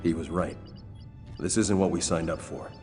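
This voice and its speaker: An actor playing a disillusioned, conscientious superhero in a video game, earnest and firm. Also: male voice, deep voice, fairly even intonation, normal speed, darkish tone, natural voice. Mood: troubled, resolute. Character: disillusioned male speedster superhero, conscientious, resolute. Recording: music behind the voice.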